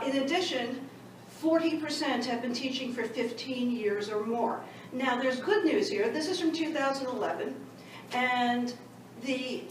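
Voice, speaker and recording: High-voiced woman in an echoey hall. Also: slight background noise. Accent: New York. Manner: conversational, self-deprecating asides. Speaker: panelist, education director. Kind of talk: conference panel talk. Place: large conference hall. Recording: echoey hall recorded from far off.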